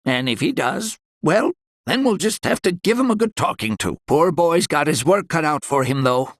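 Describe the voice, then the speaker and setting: A man's voice: fairly high pitch, big pitch swings, moderate pace, no echo, studio quality. An actor playing a folksy, musing townsman in a game, sceptical yet hopeful.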